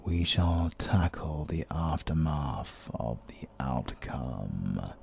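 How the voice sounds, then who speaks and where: Very deep, gravelly, ominous otherworldly being. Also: male voice, very animated, a little hiss or hum, exaggerated voice. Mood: formal, grave. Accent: British. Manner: formal, measured, detached. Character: ominous, courteous interdimensional being, very deep and slow. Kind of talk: audio roleplay acting.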